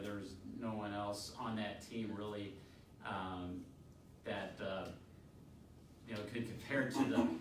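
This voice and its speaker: A middle-aged man giving an unscripted testimonial, halting and rambling a little. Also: on the low side. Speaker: youth soccer coach giving a testimonial. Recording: echoey room, distant mic, clear noise.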